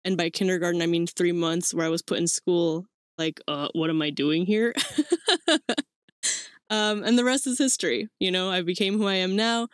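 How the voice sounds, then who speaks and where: A woman's voice: on the low side, moderate pace, no echo, clean studio sound. A woman in her twenties from Mexico hosting her own podcast, talking casually and rambling with fillers and little laughs. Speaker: podcast host. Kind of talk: casual solo podcast talk. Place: small cluttered room indoors.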